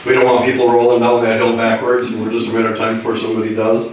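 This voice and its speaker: An American man with a voice roughened by a cold, informally presenting to a meeting. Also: medium pitch, completely flat delivery, racing. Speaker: grant presenter at council meeting. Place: indoor meeting room, far mic. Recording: echoey room, distant mic, faint noise.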